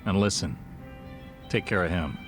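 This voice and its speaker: An actor playing an easygoing middle-aged bartender with a knowing, gruff manner, in a video game dub. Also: male voice, deep voice, expressive, normal speed. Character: laid-back middle-aged male bartender. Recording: game cutscene with music behind.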